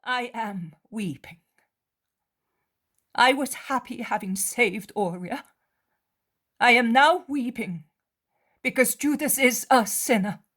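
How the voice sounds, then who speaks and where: A woman reading aloud who voices a gentle mother, pleading earnestly in a raised, breathy voice. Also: sits high, lively intonation, slow pace, pauses often, pitched above the performer's own voice, exaggerated voice. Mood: sorrowful.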